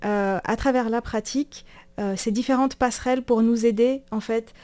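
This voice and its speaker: A woman presenting in a conversational radio discussion, explaining things with frequent hesitant pauses. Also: a little high, steady tone, warm tone.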